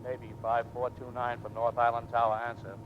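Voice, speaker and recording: An actor playing a dutiful, deferential navy tower radio operator in a 1940s radio drama. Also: male voice, medium pitch, completely flat delivery, a little fast, muffled recording, over loud music, close to an everyday voice. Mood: dutiful, concerned. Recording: noisy old radio broadcast with clicks.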